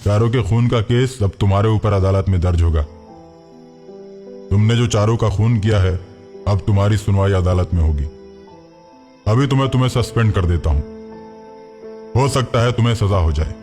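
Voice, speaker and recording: A man's voice: on the low side, normal speed, long pauses, mellow, pitched below the performer's own voice, close to an everyday voice. A man voicing a stern senior police officer in a cartoon story, speaking with official authority. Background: background music.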